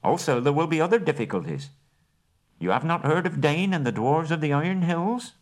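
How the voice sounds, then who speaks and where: Fussy, shrewd little hobbit, high and bouncy, voiced by a man. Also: sing-song. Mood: businesslike. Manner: brisk businesslike, genteel. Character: fussy polite male hobbit, small and shrewd.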